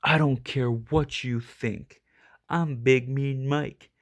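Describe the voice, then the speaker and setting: A man voicing a big, gruff, blustering tough dog with a soft heart, in a storybook reading. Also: medium pitch, fairly even intonation, takes it slowly, close to an everyday voice. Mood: defiant. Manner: growly, blustering.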